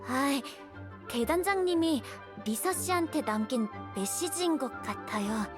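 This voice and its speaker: A woman's voice: high-pitched, animated, a little slow, music in the background. A woman voicing a timid, polite young boy knight in an anime game, speaking hesitantly and carefully.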